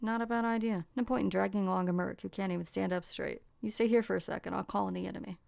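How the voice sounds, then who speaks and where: Guarded female mercenary boss, acted by a woman, mid-pitched with gravelly fry, dry and cynical, close dry game-dub sound. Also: steady tone, fast talker, a little mellow, dry recording. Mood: cold, scornful. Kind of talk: amateur anime game fan dub.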